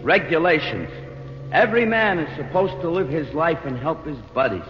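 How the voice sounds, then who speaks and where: A long, echoing reverb wraps a wisecracking ghost in a muffled old radio drama. The male voice is highish and swoops about in slangy tough-guy talk, with faint music behind. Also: lively intonation, normal speed. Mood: earnest warning. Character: wisecracking, chain-laden male ghost of dead business partner. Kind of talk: 1940s radio acting.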